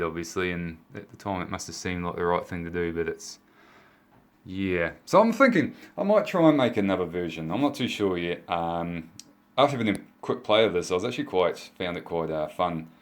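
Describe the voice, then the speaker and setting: A man's voice: sits low, normal speed. A man. He demonstrates a homemade project casually and off the cuff. His delivery is hesitant and self-deprecating. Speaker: hobbyist video maker. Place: indoors at a home workbench.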